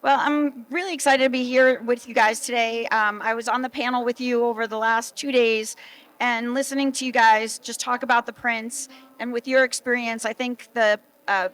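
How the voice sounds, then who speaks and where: A woman's voice: on the high side, fairly even intonation, normal speed, fairly bright tone, poor audio. A middle-aged woman hosting a panel at an event, presenting in a casual, conversational way. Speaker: host introducing judges. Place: booth at a trade show.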